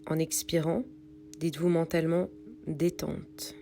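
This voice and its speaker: A woman leads a scripted relaxation practice. Her voice sits on the low side and is soft-edged and very mellow. She gives calm, unhurried instructions, with a faint hum and noise behind her. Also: vocal fry. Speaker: yoga nidra meditation guide. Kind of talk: guided meditation read from a script.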